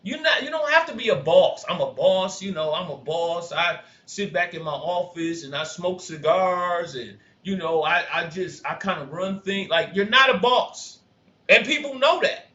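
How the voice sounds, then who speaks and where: A man co-hosting a casual podcast who says he has a high voice and a dumb laugh, talking in an animated way that rises to loud points. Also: normal speed. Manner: animated, laughs often, gets loud when making a point. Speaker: podcast co-host. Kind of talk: casual reaction podcast chat. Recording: roomy, dull lo-fi sound.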